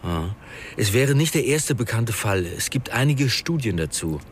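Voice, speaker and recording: A man acting as a gentle, enigmatic passenger in a radio drama. He muses with a lively, varied melody, faint cabin ambience behind. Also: medium pitch, normal speed, a little hiss or hum, close to an everyday voice. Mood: musing.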